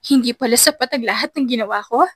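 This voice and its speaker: A woman voicing a furious, heartbroken young woman in an audio drama, shouting accusations in anguish. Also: on the high side, fast talker, no room sound, studio quality. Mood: defeated, hurt.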